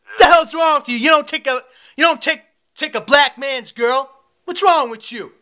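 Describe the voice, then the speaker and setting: Man putting on a very high, angry jealous boyfriend. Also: moderate pace, bright, forceful tone. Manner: confrontational, blunt, repetitive. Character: angry aggrieved man hunting for his girlfriend. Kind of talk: prank phone call. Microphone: camera held close to the face.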